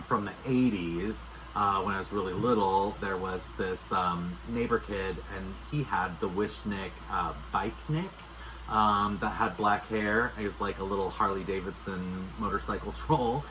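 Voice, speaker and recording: Low-voiced man chatting over background noise. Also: fairly bright tone, poor audio. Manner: enthusiastic, sincere, rambling. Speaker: doll maker and vlogger. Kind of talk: casual chat to camera.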